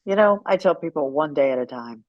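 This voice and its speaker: A middle-aged American woman telling candid personal stories as an interview guest, frank and unfiltered, with dramatic pauses for emphasis. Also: low-pitched, expressive, dry recording, lo-fi sound. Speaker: guest, writer and former radio personality.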